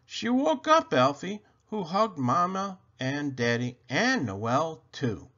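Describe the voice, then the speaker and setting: Slow, very expressive man reading aloud. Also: fairly high pitch. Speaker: children's book reader. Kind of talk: reading a picture book aloud. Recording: dull lo-fi sound.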